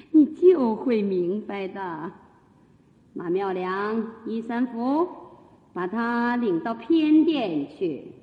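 An imperious, scheming empress coaxes and commands with very slow, swooping theatrical delivery. The radio drama recording has a long hall reverb. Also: female voice, medium pitch, lively intonation, muffled recording. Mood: commanding, sly. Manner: haughty, coaxing, commanding.

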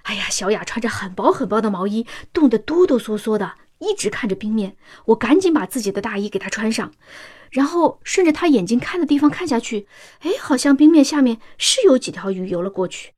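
A woman's voice: on the high side, big pitch swings, brisk, darkish tone, slightly rough. An actress playing a devoted, anxious working-class mother in a science-fiction radio drama, pleading and sighing emotionally. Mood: worried.

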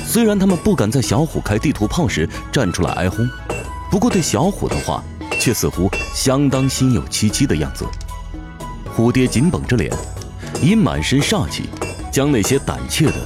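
A man narrates a dramatic story from a script. His voice is fairly low and moves through a very wide pitch range in a theatrical, expressive way. Music plays underneath.

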